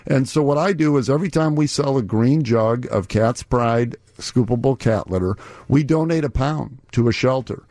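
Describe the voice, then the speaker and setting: A man's voice: mid-range voice, normal speed, darkish tone, no echo. A middle-aged man, a company executive, explaining his business earnestly in a conversational radio interview.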